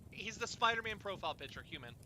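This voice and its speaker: A man hosting an informal chat, telling rambling stories in a halting, animated way and swearing at times. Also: high-pitched, a little hiss or hum, rough recording. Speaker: host of an informal fireside chat. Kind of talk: casual chat, rambling storytelling.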